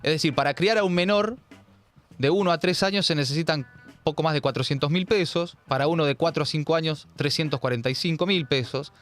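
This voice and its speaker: Sardonic Argentine man, fairly high voice. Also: a little fast, fairly bright tone, faint music behind. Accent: Argentine Rioplatense. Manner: sardonic, emphatic, slangy. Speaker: host. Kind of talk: political news commentary.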